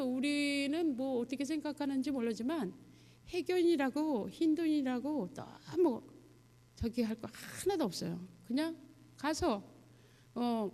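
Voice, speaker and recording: High-pitched woman preaching in a reverberant church. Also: darkish tone. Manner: conversational, halting, puts questions to listeners. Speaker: missionary guest preacher. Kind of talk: testimony sermon, mission report to a congregation. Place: church sanctuary, addressing a congregation. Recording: long hall reverb, church sound system.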